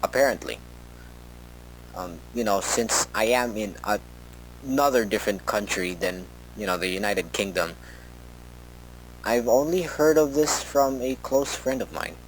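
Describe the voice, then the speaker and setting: A man playing a worried researcher who records a rambling, halting, informal tape log for an analog horror story. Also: lowish voice, slight background noise, natural voice, rough recording. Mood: somber, halting. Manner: hesitant, halting, rambling with self-corrections.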